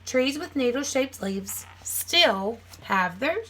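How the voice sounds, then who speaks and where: Bright-voiced woman reading aloud to children. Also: fairly high pitch, lively intonation, takes it slowly, slight background noise, low-quality recording. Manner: simple, clearly phrased teacherly delivery addressed to young children. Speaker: teacher reading aloud to a class. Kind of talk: children's nonfiction read-aloud with casual asides.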